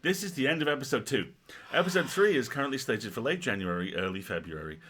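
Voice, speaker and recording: A man's voice: medium pitch, expressive, fast, a bit bright, slightly rough. A man co-hosting a livestream, moving between casual chat and reading game narration aloud.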